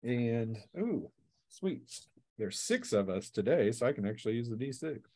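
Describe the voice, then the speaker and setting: A man with a medium-pitched voice runs a tabletop role-playing game. He narrates the action in a casual, halting way and drops in and out of rules talk as he goes. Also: big pitch swings, warm tone, no echo. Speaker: game master.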